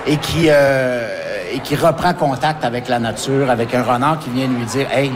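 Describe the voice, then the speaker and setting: Québécois man, halting, noisy ambience. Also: medium pitch, fairly even intonation. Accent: Québécois French. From Quebec. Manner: halting, many restarts and fillers. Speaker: author and forest firefighter. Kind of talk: radio interview.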